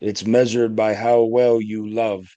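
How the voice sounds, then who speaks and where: A man playing a gentle, patient priest in an audio play, offering counsel in a measured, soothing pastoral way. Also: lowish voice, flat delivery, no echo, dull audio, natural voice. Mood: reassuring. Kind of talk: community theatre audio play acting.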